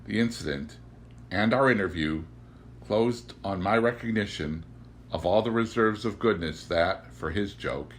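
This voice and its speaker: A man reading a classic story aloud as an audiobook, with a theatrical, deliberate and emphatic delivery. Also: fairly low pitch, slight background noise. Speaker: audiobook reader. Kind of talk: dramatic literary narration.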